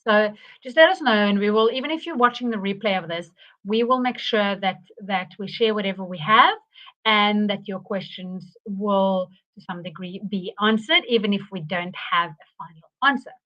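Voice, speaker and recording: A woman's voice: medium pitch, moderate pace, muffled recording. A woman with a South African accent, presenting a live marketing webinar in a chatty way, with many restarts and fillers.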